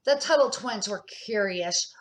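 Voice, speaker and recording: A woman's voice: mid-range voice, animated, unhurried. An older woman, a grandmother, reading a children's storybook aloud as an amateur narrator, with expression and the odd small stumble.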